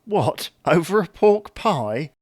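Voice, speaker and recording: A British actor playing a hapless middle-aged husband in a domestic audio sitcom, mild, put-upon and wryly grumbling. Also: male voice, fairly high pitch, sing-song, takes it slowly, slightly rough, no echo, studio quality, exaggerated voice.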